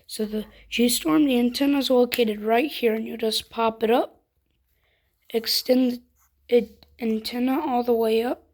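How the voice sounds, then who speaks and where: Female product reviewer, higher-pitched, informal, walking through features step by step in a casual demo. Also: steady tone, a little slow, darkish tone.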